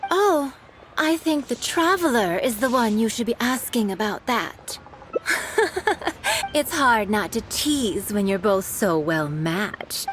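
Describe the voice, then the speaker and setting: A teasing game shopkeeper, coy, slow drawling, highish voice, music behind. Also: female voice, fairly bright tone. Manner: playful, coy, drawn-out teasing. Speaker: perfume shop owner and tailor. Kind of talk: game voice acting. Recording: game dialogue over clear music.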